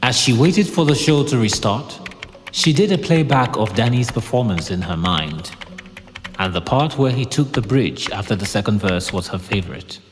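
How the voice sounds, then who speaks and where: A man narrates a scripted audio drama. His voice sits at a middle pitch, telling the story over a faint musical bed. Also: lively intonation, moderate pace, long reverb tail, over music.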